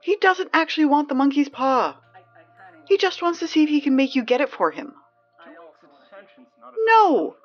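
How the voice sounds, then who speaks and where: A woman playing a flustered, bossy high school girl in an audio drama, halting and easily exasperated, heard over a baby monitor. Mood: exasperated, alarmed. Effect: baby monitor transmission. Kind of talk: naturalistic audio drama acting. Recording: dull baby-monitor sound with music.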